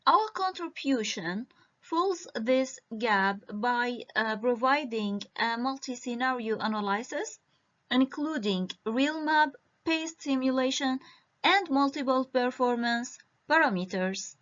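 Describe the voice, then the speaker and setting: Woman presenting her research at a conference, speaking slowly with constant hesitations, on muffled lo-fi call audio. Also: high-pitched, lively intonation, fairly bright tone. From Iraq. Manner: halting, with frequent hesitations. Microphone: video call.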